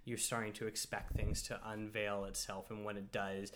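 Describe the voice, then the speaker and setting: A man with a fairly low voice talks through a film in a relaxed, thoughtful way. He hesitates often and circles back on his words as he works out his point. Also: moderate pace. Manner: thoughtful, hesitant, lots of fillers and restarts. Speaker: podcast host and film reviewer. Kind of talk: casual film discussion.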